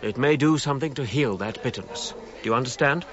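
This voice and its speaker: An actor playing a reserved, authoritative British archaeologist in a radio drama, speaking formally with clipped phrasing. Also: male voice, mid-range voice, quick pace, dull audio, crowd noise, close to an everyday voice. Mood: formal, businesslike.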